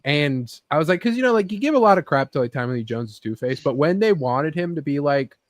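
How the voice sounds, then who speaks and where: A man's voice: on the high side, lively intonation, fairly quick, no echo. A man talking informally and bluntly with friends in a casual discussion. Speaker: podcast panelist.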